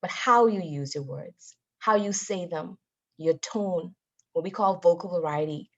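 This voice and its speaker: A woman speaks with a somewhat low voice in a motivational talk. Her delivery is deliberate and emphatic, like a trained public speaker giving instruction. The audio is slightly dull and lo-fi. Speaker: guest speaker, Toastmasters member.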